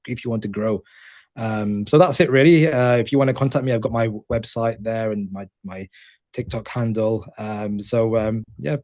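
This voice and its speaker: Halting, monotone man presenting. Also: mid-range voice. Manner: halting, with many restarts and repeated words. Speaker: GP giving a webinar talk. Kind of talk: webinar presentation.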